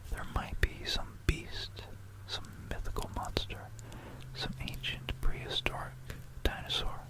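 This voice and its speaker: This is a fully whispered voice with no real tone behind it, only breath. The speaker hosts ASMR narration in an informal, rambling way. The delivery is gentle and a little hesitant, suited to bedtime listening. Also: unhurried, low-quality recording. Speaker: ASMR video creator and host.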